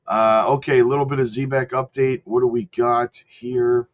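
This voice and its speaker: A man with a cold, host of a channel, casually talking through market charts and hedging his calls, clearing his throat now and then. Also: medium pitch, flat delivery, moderate pace. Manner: rattles off lists, chatty and hedging. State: sick with a cold. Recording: slightly dull lo-fi audio.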